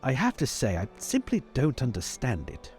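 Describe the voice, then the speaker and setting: Man playing a fussy, animated older professor. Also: mid-range voice, normal speed, soft background music. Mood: concerned, pleading. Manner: hesitant, repeating words, formal. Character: fussy, earnest older male university professor, patronizing mentor. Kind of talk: improvised tabletop roleplay.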